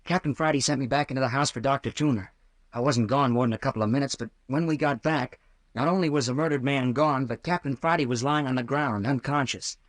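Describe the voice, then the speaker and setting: An earnest, anxious young college man from an old radio horror play, acted by a man. His voice sits at a middle male pitch in a dry studio sound. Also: fast talker, no echo, natural voice. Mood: earnest recounting. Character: anxious, earnest college boy caught in danger. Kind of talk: 1940s radio acting. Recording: old-time radio drama recording.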